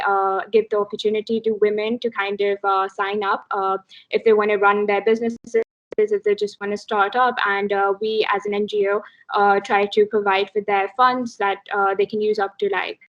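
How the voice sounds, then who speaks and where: A young woman from Pakistan introducing herself as a student candidate, halting and full of fillers. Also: medium pitch, a bit bright, lo-fi sound. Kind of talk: casual self-introduction.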